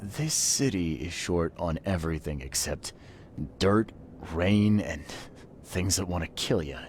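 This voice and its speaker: A man's voice: sits low, expressive, a little slow, a little hiss or hum. A man playing a terse, world-weary private detective in a video game, dry and guarded in manner. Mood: skeptical. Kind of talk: video game cutscene acting.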